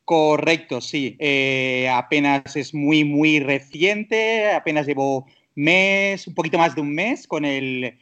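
A man's voice: on the high side, takes it slowly, few pauses, a bit bright. A man from the Barcelona area with an accent from Spain, chatting casually as a podcast guest, often hesitating and rephrasing himself. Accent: Spanish from Spain. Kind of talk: casual interview chat.

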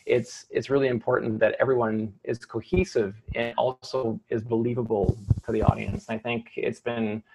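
A young man, an opera tenor, answering interview questions in a thoughtful, halting way with many restarts. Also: medium pitch, flat delivery, creaky, background noise. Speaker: opera singer, light lyric tenor. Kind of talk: interview conversation.

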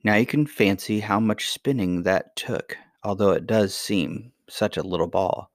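Man as a serene, low-voiced storybook grandmother. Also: unhurried, no room sound, clean studio sound. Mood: patient, instructive. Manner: gentle, patient, reassuring, instructive. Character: kindly, serene, mysterious grandmother lady of a fairy tale. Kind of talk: acted dialogue in a children's fairy tale reading.

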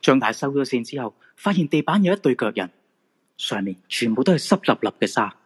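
A man's voice: medium pitch, sing-song, brisk, slightly rough. A man with a Hong Kong Cantonese accent narrating a supernatural radio drama in a dramatic storytelling style.

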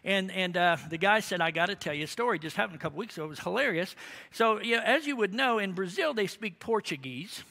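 A man preaching a sermon, telling humorous stories with animated emphasis. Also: high voice, fast talker, gravelly, big-room sound. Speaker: pastor.

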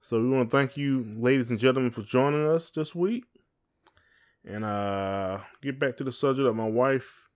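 A man's voice: mid-range voice, normal speed. A man with a Southern American accent co-hosting a podcast in a casual, folksy, teasing chat. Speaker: podcast co-host.

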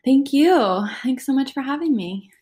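A woman founder speaking as a podcast guest, chatty and excited about her business plans, laughing easily. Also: high-pitched, a little slow. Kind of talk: casual interview chat.

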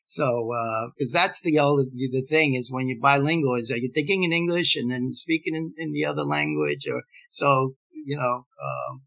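Older man, sixties, native Spanish speaker, bright tense tone, meandering and full of fillers, dull lo-fi recording. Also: mid-range voice, expressive, normal speed, hardly any pauses. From Gibraltar. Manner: rambling, many fillers and restarts, laughs while telling stories. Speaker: playwright. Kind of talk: casual podcast interview. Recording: dull lo-fi sound.

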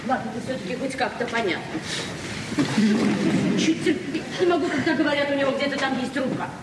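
Flustered, high-voiced naive librarian in her forties. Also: animated, fairly quick. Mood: indignant, flustered. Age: 40s. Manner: flustered, hesitant, chatty, repeating words. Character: naive, open, talkative provincial woman librarian in her forties. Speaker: actress in a radio play. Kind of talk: naturalistic Soviet radio drama acting. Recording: echoey, distant, noisy 1970s radio recording.

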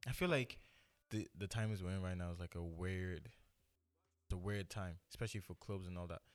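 Inquisitive low-voiced young man. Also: moderate pace. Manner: questioning, stirs the conversation. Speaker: podcast co-host. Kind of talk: casual banter podcast.

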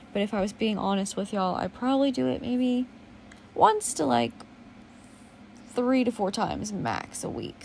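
A young woman with a naturally low, gravelly voice talks through a solo podcast episode. She rambles candidly, pokes fun at herself, and swears offhandedly, with faint noise under the recording. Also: darkish tone. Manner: rambling, candid, swears casually, self-deprecating. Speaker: podcast host. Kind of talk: casual solo podcast monologue.

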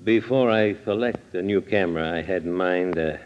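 Actor as a very low-voiced, politely menacing strangler. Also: male voice, lightly acted. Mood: polite, guarded. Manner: formal, slow, deliberate. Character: menacing, obsessed male strangler, politely soft-spoken. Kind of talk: 1940s radio crime drama acting. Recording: muffled, noisy old radio recording.

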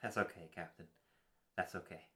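A man's voice: sits low, steady tone, normal speed, a bit bright. A man acting an earnest, lonely superhero's friend who clings to hope, melancholy and dramatic in a darkly comic radio play. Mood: gentle, sad. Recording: music clearly playing under the voice.

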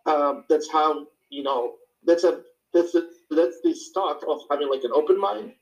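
Reflective man with a Moroccan accent, higher-pitched. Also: moderate pace, rough recording. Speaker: speaker sharing personal experience. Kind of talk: informal personal talk to a small group.

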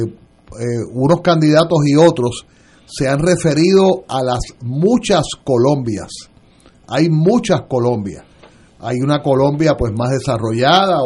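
A man's voice: mid-range voice, darkish tone. A man, a lawyer speaking as a guest on a political radio panel, hesitating often with filler sounds and restarts.

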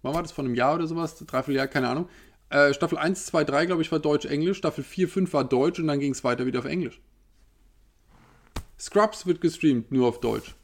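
Gravelly man ranting casually. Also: a little high, fairly quick. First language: German. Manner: ranting, rhetorical questions. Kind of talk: casual opinion monologue.

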